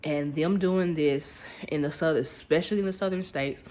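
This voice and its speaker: A woman's voice: low voice, moderate pace, a little mellow. A woman talking straight to her viewers in a casual, emphatic commentary, speaking informally and restarting her phrases as she goes. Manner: informal speech with dropped grammar. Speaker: vlogger.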